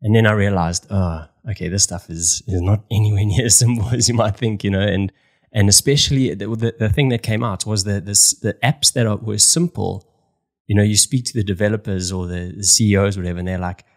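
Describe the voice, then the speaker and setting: A man's voice: on the low side, steady tone, fairly quick. A man in his thirties with a South African accent, hosting a casual interview podcast from a small home room, talking in loose, repeating phrases. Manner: chatty, repeats words while searching for phrasing. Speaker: podcast host. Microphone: studio mic on stand with headphones, video call.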